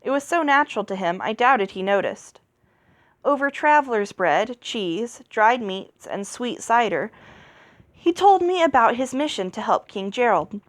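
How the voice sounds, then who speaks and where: A woman's voice: on the high side, expressive, measured pace, no echo. A woman reading a fantasy novel aloud as an amateur narrator, expressively telling the story and its dialogue. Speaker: amateur audiobook reader.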